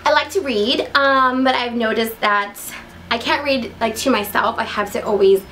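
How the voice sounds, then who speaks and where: A woman's voice: fairly high pitch, normal speed, a bit bright, slight background noise. A young woman with an American accent chatting casually to camera as a vlogger, in a giggly, upbeat and talkative manner. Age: young adult. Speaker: vlogger introducing herself.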